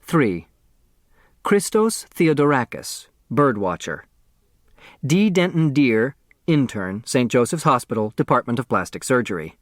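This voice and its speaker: Guy playing a formal announcer reading out rules in an audiobook, deliberate, mid pitch, dry and close. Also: big pitch swings, dry recording. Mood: formal announcing.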